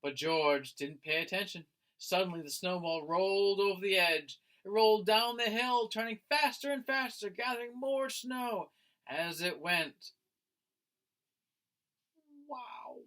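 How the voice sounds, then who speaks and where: A man, a teacher, reading a picture book aloud to young children in an expressive storytelling style. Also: high voice. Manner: expressive, slow, addressing listeners as friends.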